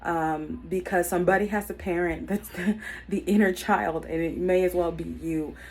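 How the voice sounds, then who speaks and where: A woman in her mid-thirties speaks in a fairly low voice, earnest and reflective, with frequent ums and restarts. Faint noise sits behind her voice. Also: steady tone, moderate pace. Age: 30s. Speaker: YouTube self-help vlogger. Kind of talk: unscripted personal talk to camera.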